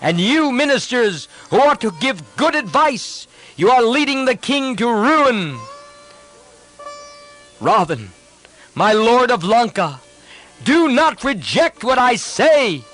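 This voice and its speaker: A man's voice: extremely high pitch, big pitch swings, takes it slowly, fairly bright tone, faint music behind, pitched above the performer's own voice, exaggerated voice. A man voicing a fearless, righteous older counselor who pleads earnestly with his king. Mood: pleading.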